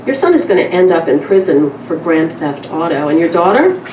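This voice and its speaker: A woman reads as a sly, gleefully cruel male bill collector from a short story, spelling out doom with insinuating care. The voice is slightly low and comes from a distance in an echoey room. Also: very animated. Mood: sly, accusing. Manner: insinuating, deliberate, drawn-out doom-saying. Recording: roomy, distant live recording with noise.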